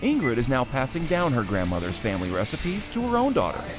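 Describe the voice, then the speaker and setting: A woman reporter delivering scripted news feature narration in a smooth, measured way. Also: a bass voice, huge pitch swings. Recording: music bed underneath.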